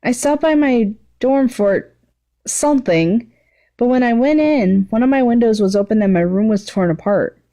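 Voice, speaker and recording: A woman's voice: a little high, moderate pace, mellow, voice pushed up, close to an everyday voice. A woman voicing an earnest, anxious, stammering teenage boy hero in a story reading. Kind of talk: fan fiction read aloud.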